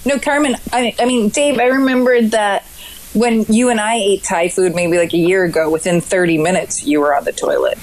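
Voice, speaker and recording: A woman's voice: medium pitch, normal speed, poor audio. Chatty woman podcast host. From Connecticut, US. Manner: chatty, lively storytelling, laughs easily. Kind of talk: casual podcast chat.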